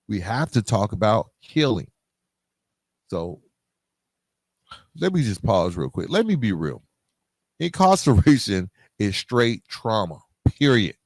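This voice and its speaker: A man's voice: medium pitch, dry recording, clean studio sound. A man hosting a podcast, speaking candidly and emphatically straight to listeners in colloquial, streetwise phrasing, like a motivational monologue. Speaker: podcast host.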